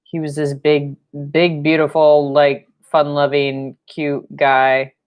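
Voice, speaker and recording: A young woman with a low voice, leading a live-stream painting lesson at home, chatty and rambling with fillers, recorded by a fixed camera mic in a room. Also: little pitch movement, slow pace, clear, resonant tone, dull audio. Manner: rambling and chatty, with fillers and playful sound effects. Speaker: painting instructor. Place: home studio room, indoors.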